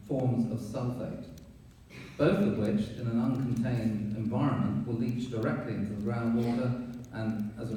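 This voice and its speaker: A man giving public comment at a town board hearing, pointed and accusatory, with halting pauses and fillers. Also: medium pitch, mellow. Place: town meeting hall. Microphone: room mic from a distance. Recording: echoey hall, distant mic, faint hiss.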